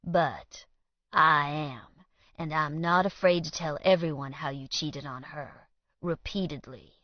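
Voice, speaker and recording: A woman voicing a tough man holding back his anger, speaking in a slow, quiet drawl. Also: low voice, dry recording. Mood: cold. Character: tough rough-edged young man, tightly controlled anger. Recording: dull lo-fi dry read.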